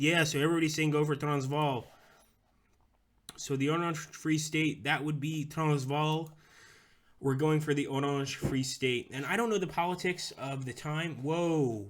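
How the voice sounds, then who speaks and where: A man's voice: on the high side, fairly bright tone. A young man casually talking through a strategy game on a live stream, chatting with viewers as he plays. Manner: rambling, chats with viewers, talks through moves.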